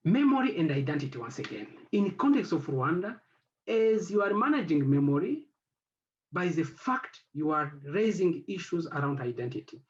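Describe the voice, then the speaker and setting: A man whose first language is French speaks on an academic panel. His voice sits a little high and moves a lot in pitch. He pauses and searches for words, and the recording sounds dull and lo-fi. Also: big pitch swings. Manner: halting, searching for words with many fillers. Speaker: head of an institute.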